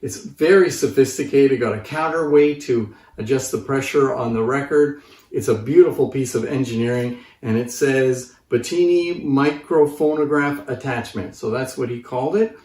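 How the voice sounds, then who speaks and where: A man's voice: mid-range voice, normal speed, a little mellow. A man in his fifties presenting informally to camera as a collector, explaining things in a conversational way. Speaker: presenter and collector of antique phonographs. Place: living room, seated on a sofa. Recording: roomy, distant microphone.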